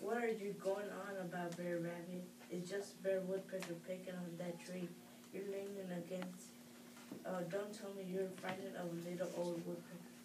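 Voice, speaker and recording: Low, flat female voice reading a play. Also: normal speed. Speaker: student reader. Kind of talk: readers theatre performance. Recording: distant, echoey, noisy classroom recording.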